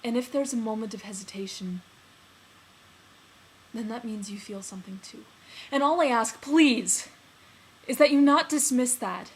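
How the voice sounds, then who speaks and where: A woman plays a lovesick man baring his feelings to a close friend in a dramatic monologue. The delivery is earnest and halting, swelling into emphasis, at a medium pitch. There is some noise in the recording. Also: steady tone, mellow, lightly acted, poor audio. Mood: desperate, insistent. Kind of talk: dramatic film monologue performed on stage. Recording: noticeable background noise.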